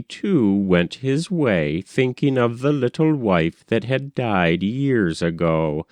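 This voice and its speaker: Male narrator for literary short fiction: unhurried, animated pitch, dry close sound. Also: dry recording. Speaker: narrator, reader. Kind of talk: expressive literary story narration.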